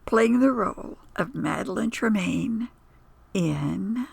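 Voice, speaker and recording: A woman hosts with scripted narration, taking her time over every phrase. Her voice is heavily fried and husky, with a solemn, deliberate delivery. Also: mid-range voice, lively intonation, darkish tone, no echo, soft background music. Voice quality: gravelly, husky, breathy. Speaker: host and presenter.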